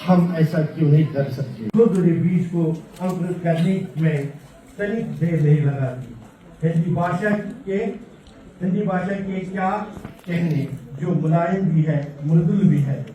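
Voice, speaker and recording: Man, formal speaker at a function, slow and weighty, mellow tone, distant in an echoey hall. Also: on the high side, a little hiss or hum. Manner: slow, declamatory, listing with emphasis. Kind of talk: formal speech. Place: large hall.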